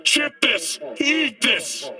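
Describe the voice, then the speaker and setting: An actor playing a fiery dystopian street preacher in a video game, ranting in an impassioned, declamatory way. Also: male voice, very high voice, big pitch swings, drawn-out words, pushed and bright, husky, far from the mic, soft background music, heavily acted. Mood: bitter, preaching. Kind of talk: dramatic video game monologue.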